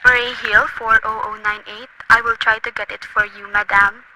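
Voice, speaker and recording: A woman's voice: a little high, flat delivery, moderate pace, very noisy, lightly acted. A woman playing a polite, stiff telephone operator who speaks in a prim, formal, clipped way.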